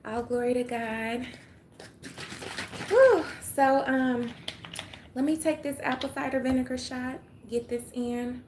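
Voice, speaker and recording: Older Southern woman, phone livestream host, slightly high voice, folksy chatter, faint room noise. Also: slow, pauses often, a little mellow. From Atlanta, Georgia. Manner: chatty, folksy, greets viewers, drops to a murmur at times. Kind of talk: casual livestream chat. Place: home room with sunlight.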